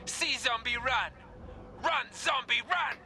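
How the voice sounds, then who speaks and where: Game villain: gleeful mocking man, very high, slow taunts. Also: over loud music, full character voice. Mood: gleeful taunting. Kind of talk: video game voice acting.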